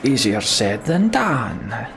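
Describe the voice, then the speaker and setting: A man's voice: medium pitch, sing-song, slow pace, creaky, fairly bright tone. A man commentating casually on a video game as he plays, thinking aloud and reading story text out. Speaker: let's play video host. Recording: game music behind the voice. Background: video game music.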